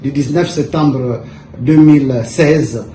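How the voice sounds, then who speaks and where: A man giving a statement to the press, deliberate and pausing with fillers. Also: mid-range voice, dark tone. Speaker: member of parliament. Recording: echoey room with background noise.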